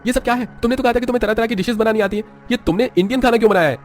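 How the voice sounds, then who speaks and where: A man's voice: very high-pitched, very fast, pitched above the performer's own voice. A man playing an irritable, boastful young businessman husband in a story, with an exclamatory, scolding manner. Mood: irritated.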